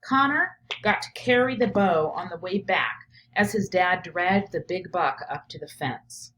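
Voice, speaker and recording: Animated woman reading and thinking aloud. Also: fairly low pitch, a little slow, rough recording. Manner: reflective, thinking out loud, often checking with "right?". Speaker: professor and teacher reading aloud. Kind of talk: read-aloud of a picture book with think-aloud commentary.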